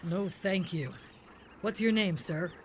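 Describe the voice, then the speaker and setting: A woman playing a deadpan, hard-boiled detective in a parody police radio drama, narrating the case in clipped sentences. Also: fairly low pitch, slow, background noise.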